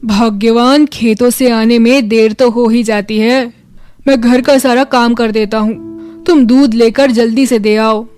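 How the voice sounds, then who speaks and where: A woman voicing a good-natured, hardworking village farmer husband in a children's story, with loud, emphatic delivery. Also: on the high side, steady tone, measured pace, no room sound, faint music behind, close to an everyday voice. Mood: patient, explaining. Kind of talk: children's moral story dialogue.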